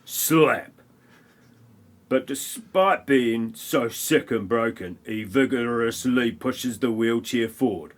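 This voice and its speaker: A man's voice: medium pitch, takes it slowly. A man narrating a comic parody audio play in a wry, mocking manner.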